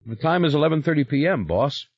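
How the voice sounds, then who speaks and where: A man voicing a worried, deferential male house servant who rambles quickly in a raised voice. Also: fairly high pitch, animated, a little mellow, slightly rough, dry recording, lo-fi sound, voice pushed up. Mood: anxious, rambling. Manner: fast, rambling, eager.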